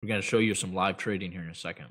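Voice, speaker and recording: A man hosting a trading show, giving blunt, rambling advice to viewers with many fillers, his voice getting hoarse. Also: low-pitched, steady tone, racing, a bit bright. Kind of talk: casual advice talk to viewers.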